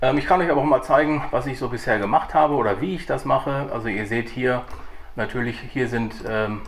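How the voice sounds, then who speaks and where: A man's voice: mid-range voice, fairly quick. A man, around his forties, talking informally and a little hesitantly as a vlogger explaining his work. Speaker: vlogger and audio drama producer. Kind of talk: casual vlog explanation.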